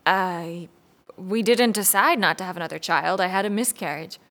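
A woman's voice: lowish voice, moderate pace, fairly bright tone. An actress playing a guarded married mother in an audio drama, curt and defensive as she is questioned. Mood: resistant, irritated. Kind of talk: scripted audio drama dialogue.

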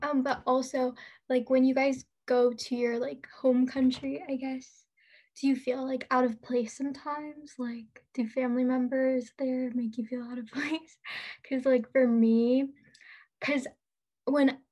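High-voiced chatty teen girl. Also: flat delivery, a little slow, dark tone. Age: teens. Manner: chatty, laughing, filler-heavy. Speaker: podcast co-host. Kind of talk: casual chat.

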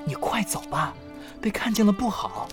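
A man voicing a playful young fox spirit, very animated. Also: sits high, moderate pace, music in the background. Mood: uneasy, urging. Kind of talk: ancient-China romance audio drama acting.

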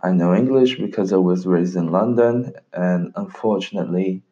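A young Brazilian man with a slightly North American-tinged accent, hosting a casual solo podcast in a relaxed, rambling way and swearing casually. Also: low-pitched, moderate pace, dull audio. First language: Portuguese. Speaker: podcast host.

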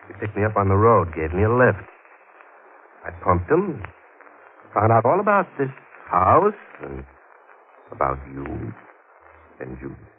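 A man's voice: lowish voice, big pitch swings, unhurried, long pauses, breathy edge, exaggerated voice. A man playing a menacing escaped killer in an old radio crime drama, curt, commanding and sardonic. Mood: smug menace. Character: menacing escaped killer, adult man, cunning, holding a housewife hostage. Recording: tinny old radio recording with hiss.

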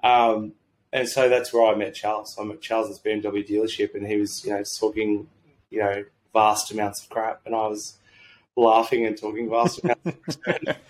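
A slightly croaky Australian man talking in a rambling, informal, mildly sweary way as a podcast guest. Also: fairly low pitch, level tone, normal speed, low-quality recording. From Sydney, Australia. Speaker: podcast guest, medical doctor and entrepreneur. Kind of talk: casual conversational interview.

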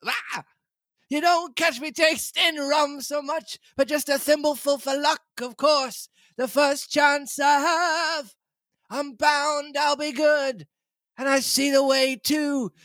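Woman doing a half-crazed old castaway pirate, high shouting voice, slow drawl, sailor's dialect, dry close sound. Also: dry recording. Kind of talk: storybook read-aloud.

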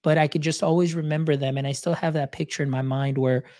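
A man's voice: fairly high pitch, steady tone, quick pace, darkish tone, no room sound, clean studio sound. A man hosting a podcast, a native Spanish speaker, talking in a reflective, storytelling way with many fillers. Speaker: podcast host. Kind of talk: casual interview chat.

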